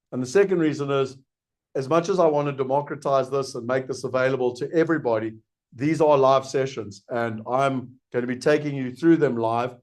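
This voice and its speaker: Persuasive South African man pitching. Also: a little mellow. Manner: earnest, persuasive, asks and answers his own questions. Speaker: investment program host. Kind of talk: live webinar sales pitch.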